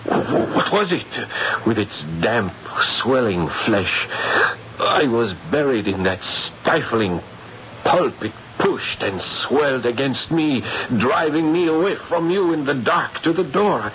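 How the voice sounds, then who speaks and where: An actor playing an excitable, shaken Frenchman, the loyal friend of a detective, with dramatic and halting delivery in a 1970s radio drama. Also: mid-range voice. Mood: shaken, horrified. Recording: muffled vintage radio broadcast, faint noise.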